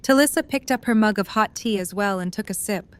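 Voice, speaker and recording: A woman's voice: mid-range voice, fairly quick. A woman narrating a scripted third-person story as part of an audio drama.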